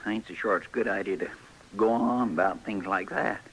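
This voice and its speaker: A man's voice: on the low side, a little fast, natural voice. A man acting a fussy, good-natured marshal's helper in an old radio western, talking in a folksy, earnest way with a rural American drawl. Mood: hesitant. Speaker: radio drama actor. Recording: noisy vintage radio recording.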